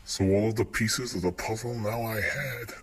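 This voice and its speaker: A man's voice: fairly low pitch, moderate pace, pitched above the performer's own voice, natural voice. A man playing a hardboiled noir detective, telling his case in wry, dramatic rhyme. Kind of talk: rhyming film-noir parody narration. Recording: noisy homemade phone clips.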